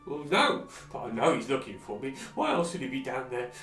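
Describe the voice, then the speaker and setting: A man's voice: medium pitch, sing-song, brisk, a bit bright. An actor playing an agitated, paranoid townsman in a video game, fretting in a rambling, folksy way. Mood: paranoid, fretful. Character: paranoid, agitated townsman hiding upstairs from a paladin. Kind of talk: video game character acting. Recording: roomy, distant, faint music.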